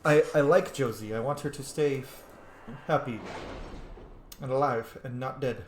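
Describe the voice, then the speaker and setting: A man's voice: mid-range voice, moderate pace. A man narrates his roleplay playthrough as a channel host. He talks informally, swears a little and thinks aloud. The recording has a roomy echo with faint noise and game ambience underneath. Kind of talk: casual let's play commentary with roleplay.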